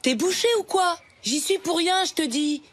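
A woman voicing a defiant, gruff schoolboy in a French children's cartoon, with a brusque, slangy, defensive manner. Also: very high voice, normal speed. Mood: annoyed, defiant. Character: defiant, gruff schoolboy who loves birds.

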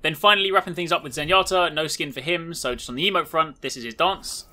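A man's voice: a little high, quick pace, bright, forceful tone, slight background noise. A man hosting a gaming video, giving chatty, informal, enthusiastic showcase commentary.

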